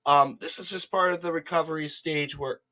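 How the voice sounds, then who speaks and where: A man's voice: fairly high pitch, fairly even intonation, fairly quick, pushed and bright. A man with a cold, congested and slightly cracking, hosting casual political commentary over a screen recording in a mocking, dismissive way, often restarting his words.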